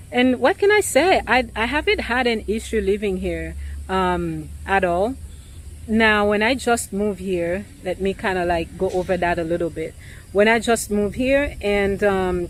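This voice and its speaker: A woman realtor with an accent, talking casually and thoughtfully to the camera, pausing often with ums and restarts. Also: mid-range voice, slight background noise.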